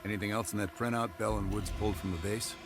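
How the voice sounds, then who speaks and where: Loud music sits behind a low-voiced covert team leader in a dramatic game cutscene. He briefs with cool, measured authority and a quiet menace. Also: steady tone, gravelly. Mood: quietly menacing.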